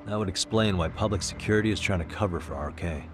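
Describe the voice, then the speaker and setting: An actor playing a cool, serious detective in a video game drama, probing and reasoning aloud. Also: male voice, deep voice, fast, noisy background, natural voice. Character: cool, sharp-minded young male detective, probing and serious.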